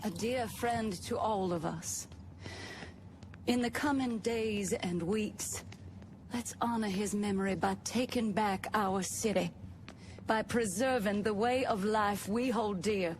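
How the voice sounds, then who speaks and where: An actress playing a cold, imperious society matriarch in a video game, speaking in a formal, commanding manner. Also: female voice, medium pitch, slow pace, slight background noise, natural voice. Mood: solemn, gracious. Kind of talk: video game cutscene acting.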